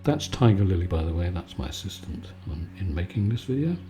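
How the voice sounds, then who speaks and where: A man giving a calm, soothing, conversational bedtime chat in a relaxed ASMR style. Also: sits low, brisk, music in the background. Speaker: video maker and host.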